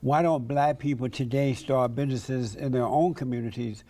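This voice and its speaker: A man's voice: mid-range voice, steady tone, moderate pace, mellow. A man hosting a debate, pressing his guest with blunt, repeated questions. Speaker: debate host.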